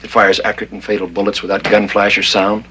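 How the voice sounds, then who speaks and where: An actor playing a cool, menacing masked vigilante posing as a crime boss in a 1960s TV crime drama, speaking in clipped, unhurried threats. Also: male voice, on the low side, monotone, pushed and bright. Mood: controlled, probing. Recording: humming, noisy old TV soundtrack.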